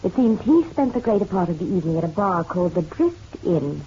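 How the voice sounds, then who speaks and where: A woman's voice: fairly low pitch, very animated, brisk, warm tone, breathy edge, heavily acted. An actress playing a genteel, proud middle-aged mother in a radio drama, poised and arch with a bitter edge. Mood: earnest, scornful. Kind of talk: noir radio drama acting. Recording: dull, slightly noisy radio-drama recording.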